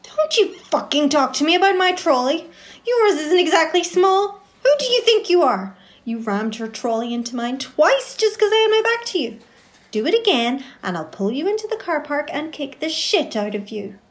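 A woman's voice: high-pitched, sing-song, normal speed. An actress playing an aggressive, foul-mouthed shopper in her forties who threatens and swears. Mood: furious. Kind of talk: amateur audio drama.

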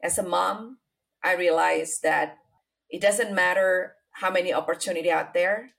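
A middle-aged woman from Indonesia with an Indonesian accent, telling her life story as an interview guest, unhurried and full of fillers. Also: lowish voice, steady tone, creaky, a bit bright. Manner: unhurried, many fillers and restarts, non-native grammar.

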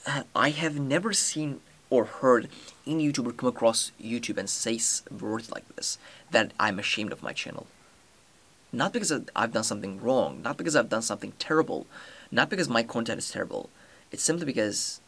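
A man's voice: mid-range voice, fairly bright tone. A young man from Turkey, a native Turkish speaker, hosting a solo podcast in a determined, earnest way with many fillers and restarts. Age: young adult. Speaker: podcast host.

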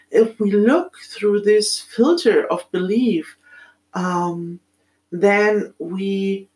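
A middle-aged woman with a German accent, speaking in a reflective, halting solo monologue as a podcast host, with many pauses. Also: mid-range voice, poor audio.

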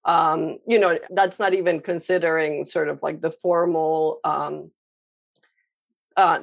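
A woman's voice: low voice, level tone, slow pace, gravelly, dull audio. A young adult woman from Colombia, a painter answering interview questions in a thoughtful way, with many fillers and restarts. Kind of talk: reflective conversational interview.

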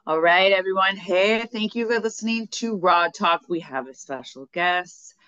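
A woman's voice: fairly low pitch, moderate pace, a bit bright. A woman hosting a podcast, gushing and enthusiastic, filling her speech with "like" and "you know". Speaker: podcast host. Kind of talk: casual interview chat. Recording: dull dry lo-fi mic.

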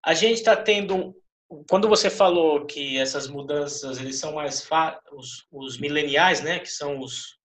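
Homem brasileiro de voz aguda, com eco. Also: male voice, on the high side, a little slow, fairly bright tone. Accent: Brazilian. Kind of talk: casual interview discussion. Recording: roomy, echoing sound.

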